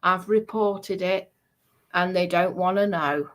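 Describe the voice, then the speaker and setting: A woman's voice: lowish voice, takes it slowly, fairly bright tone. A woman in an aggrieved, emphatic livestream talk, often repeating herself to press her point.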